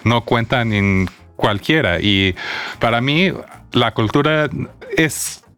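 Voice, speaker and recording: A man with an American English accent, speaking Spanish in a casual conversation, often agreeing with quick repeated "yes" and pausing to search for words. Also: mid-range voice, animated, a bit bright, smooth, faint music behind. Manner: frequent "sí, sí, sí" agreement, halting word searches.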